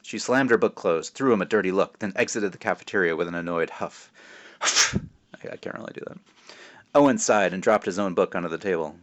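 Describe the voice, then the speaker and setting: Low-voiced man reading a story aloud. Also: fairly quick. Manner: expressive, self-interrupting, wry. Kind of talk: animated children's book read-aloud with casual asides.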